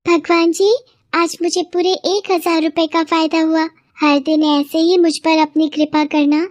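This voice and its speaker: A woman's voice: extremely high pitch, flat delivery, dry recording, clean studio sound, voice pushed up. A woman voicing an earnest, pleading young orphan girl in a cartoon moral story. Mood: grateful, prayerful.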